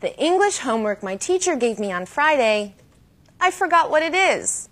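A woman hosting a language lesson with scripted, didactic delivery, slowing down to model key phrases. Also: sits high, sing-song. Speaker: host and language teacher. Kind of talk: scripted educational presenting.

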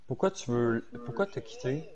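A man's voice: mid-range voice, animated, moderate pace, a little mellow. A man chatting casually and teasing his friends during an online game. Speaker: teammate. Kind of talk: casual gaming chat.

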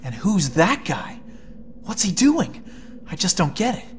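A woman's voice: on the low side, big pitch swings, a little slow, noisy background. A woman playing a worried, determined teenage student in a video game cutscene. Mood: suspicious, tense.

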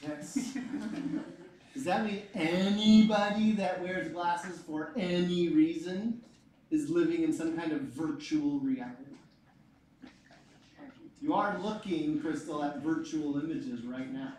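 A man in his forties teaching a physics class, lively and joking, repeating his key points. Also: fairly high pitch, takes it slowly, a little hiss or hum. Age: 40s. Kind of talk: classroom lecture. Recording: echoey room, mic far off.

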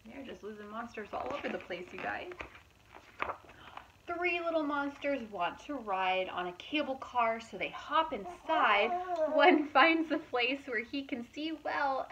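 A woman reads a storybook to small children in a fairly high voice. Her delivery is slow and expressive, with dramatic reactions and asides to the listeners. It is a simple home recording with faint noise behind her. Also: dull audio. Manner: animated, exaggerated gasps and asides to listeners. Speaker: preschool teacher reading storytime. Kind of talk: expressive picture-book read-aloud for young children.

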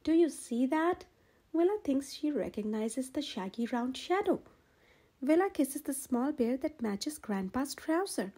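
A woman reading a children's picture book aloud in an expressive, gentle storytelling manner. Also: sits high, unhurried, husky. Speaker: storyteller, channel host.

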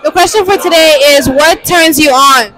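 A teenage girl asking classmates questions at school, teasing and loud, laughing often. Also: high-pitched, normal speed, a faint crowd. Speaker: street interviewer. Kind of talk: casual school interview. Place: busy school courtyard and gym.

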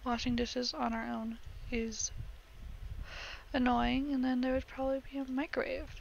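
High-voiced young woman chatting slowly. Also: vocal fry, highs cut off, slight background noise. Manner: chatty, rambling, giggly asides. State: voice cracking. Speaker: gaming vlogger. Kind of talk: casual build commentary.

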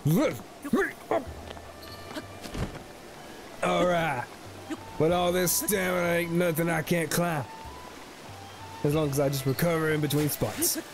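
A man's voice: a little high, unhurried, long pauses. A man giving casual, slangy, playful commentary as he plays a video game. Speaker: gaming host. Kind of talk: casual let's play commentary. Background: game music.

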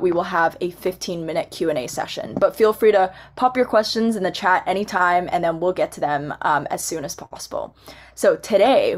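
A young woman moderating a panel over a video call, giving friendly, organized introductions. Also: on the low side. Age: young adult. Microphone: laptop webcam on video call.

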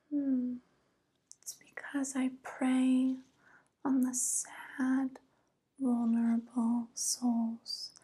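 Woman playing a slow, breathy whispering evil witch. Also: sits high, heavily acted. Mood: sly, menacing. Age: young adult. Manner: slow, hushed, condescending, mock-pitying. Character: evil 142-year-old witch who looks young, coolly predatory. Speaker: ASMR performer. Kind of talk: ASMR roleplay, soft whispering. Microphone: close microphone.

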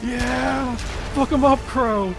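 A young man streaming a game and reacting live in a casual, excitable, slangy and profane way. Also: extremely high pitch, takes it slowly, gravelly. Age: young adult. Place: small indoor room. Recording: stream with loud game music behind.

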